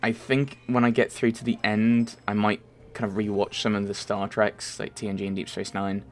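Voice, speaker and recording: Low-voiced, um-filled young man from Merseyside. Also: flat delivery, normal speed. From Merseyside, England. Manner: hesitant, many ums, self-correcting. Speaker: channel host answering viewer questions. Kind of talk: casual rambling voice-over Q&A. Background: faint game music.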